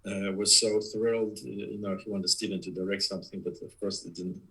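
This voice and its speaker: A man in his sixties from Poland with a Polish accent, talking as a guest in a casual interview, halting with many fillers and restarts. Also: low voice, fairly even intonation, pushed and bright, husky, poor audio. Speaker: guest, production designer.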